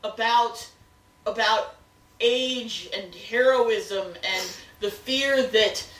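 Roomy, distant American woman, higher-pitched and conversational. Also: extremely slow, fairly bright tone. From United States. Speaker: podcast co-host. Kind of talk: casual review chat. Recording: roomy, distant mic with slight noise.

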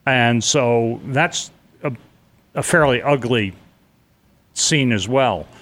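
A man's voice: medium pitch, slow pace, fairly bright tone. A middle-aged man telling a personal story in an interview, blunt and vivid, with casual swearing. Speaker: interview guest.

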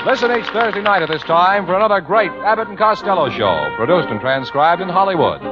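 Brisk, high-pitched male radio announcer. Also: sing-song, loud music behind the voice. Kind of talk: 1940s radio sign-off announcing. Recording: muffled 1940s radio broadcast.

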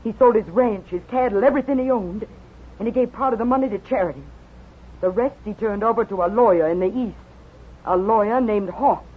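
A woman's voice: medium pitch, a little fast, breathy edge, lightly acted. An actress playing an earnest, devoted young frontier woman in a dramatic 1950s radio western style. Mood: earnest explaining. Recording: tinny, noisy 1950s radio recording.

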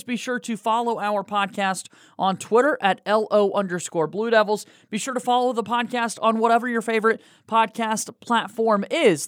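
A man's voice: high-pitched, no echo, studio quality. A man hosting a sports podcast, moving between introductions, sponsor reads and interview questions. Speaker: podcast host.

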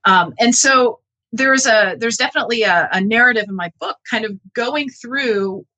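A woman's voice: fairly high pitch, normal speed, pushed and bright, poor audio. A middle-aged woman, a researcher interviewed as a guest, speaking in an earnest, reflective and emphatic way. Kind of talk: thoughtful interview talk.

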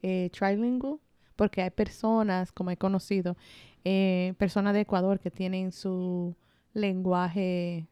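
Mellow Dominican woman chatting casually. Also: fairly low pitch, fairly even intonation, a little slow, clear, resonant tone, no room sound, muffled recording. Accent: Dominican Spanish. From Dominican heritage. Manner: informal, frequently laughing. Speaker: podcast co-host. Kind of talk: casual conversational chat.

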